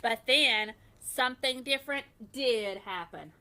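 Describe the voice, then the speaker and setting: Woman, storybook narrator for young children, high bright voice, expressive, deliberate delivery. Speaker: first grade teacher. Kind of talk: children's bedtime story read-aloud.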